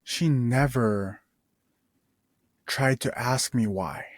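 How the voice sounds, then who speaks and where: A young man in his twenties hosts a casual podcast and tells a personal story at an unhurried pace. He rambles, restarts his sentences and drops in laid-back slang. The room sounds dry, with little reverb. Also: mid-range voice. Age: 20s. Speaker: podcast host.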